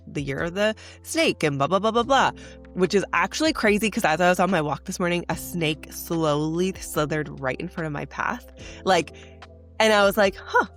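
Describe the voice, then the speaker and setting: A young woman hosting a spiritual podcast, talking in an earnest, chatty way, congested from a cold. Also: on the low side. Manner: earnest, chatty, says "like" often. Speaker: podcast host. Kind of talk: casual spiritual podcast monologue.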